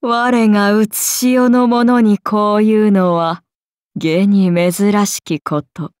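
Woman voicing a slow, imperious serpent goddess. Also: medium pitch, steady tone, darkish tone, smooth, no echo, studio quality, lightly acted. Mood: regal, condescending. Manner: archaic, commanding, grandly solemn. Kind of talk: Japanese anime game voice acting.

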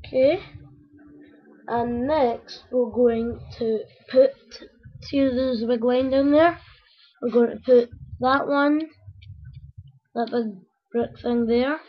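A woman with a croaky voice, rambling casually to her viewers as she builds a toy step by step. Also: fairly high pitch, fairly even intonation, slow pace, pauses often. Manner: rambling, talks to viewers while working with hands. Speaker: video maker building a toy. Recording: dull lo-fi home recording.

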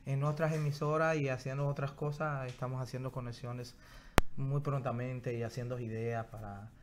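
A man, a guest journalist chatting haltingly with many fillers on a studio mic in a small TV studio. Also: mid-range voice, flat delivery, heavy background noise. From Dominican Republic. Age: 30s. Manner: hesitant, many fillers and restarts. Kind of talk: casual interview chat. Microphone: studio mic on stand.

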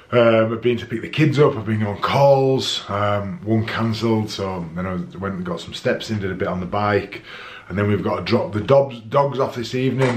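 A man's voice: on the low side, background noise. Chatty man with a stuffy nose. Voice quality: slightly nasal. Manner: chatty, rambling with fillers and restarts. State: stuffy nose from a head cold. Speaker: vlogger, dad. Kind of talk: casual vlog to camera. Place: outdoors on a country road, then a home office. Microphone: camera held at arm's length.

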